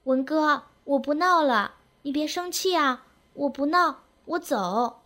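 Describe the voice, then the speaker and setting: A woman's voice: sits high, takes it slowly, sharp tone, pitched above the performer's own voice, heavily acted. A woman voicing a childlike, lovesick and disturbed village girl in her twenties, pleading and insistent, in a story reading. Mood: meek, pleading.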